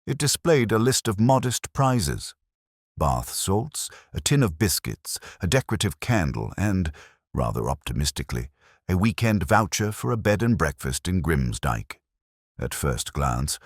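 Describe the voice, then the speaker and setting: A man narrating a scripted mystery story in a measured, formal, literary manner. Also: deep voice, dry recording, studio quality. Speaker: audiobook narrator.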